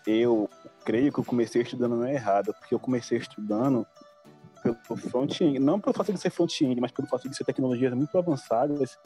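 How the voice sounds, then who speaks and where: Mellow-voiced young man. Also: mid-range voice, fairly quick, faint music behind. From Salvador, Bahia, Brazil. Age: 20s. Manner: chatty, addresses listeners as "cara". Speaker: interviewee, programming intern. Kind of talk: casual interview.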